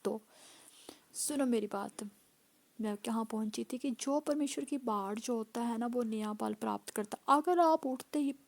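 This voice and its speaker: A woman pastor preaching a sermon with a hoarse voice, driving points home through repeated phrases and calls of hallelujah. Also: fairly high pitch, unhurried.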